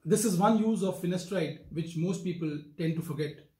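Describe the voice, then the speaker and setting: An older man with an Indian accent, giving a formal, emphatic explanatory talk as a doctor in a measured manner. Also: high-pitched, dark tone. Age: older, around 60s. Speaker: doctor, hair transplant surgeon. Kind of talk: explanatory medical talk to camera. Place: wood-furnished office, indoors. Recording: roomy, echoing indoor sound.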